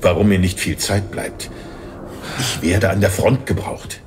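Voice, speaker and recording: A man's voice: sits low, a little slow, a little hiss or hum. An actor playing a solemn father who returns after many years, in an audio drama. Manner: solemn and earnest. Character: grave long-lost father, time-war soldier.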